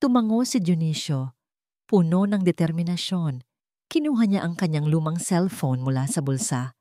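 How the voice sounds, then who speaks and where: Woman storyteller doing dramatic narration, low n mellow, dry audio. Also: lively intonation, moderate pace, no echo.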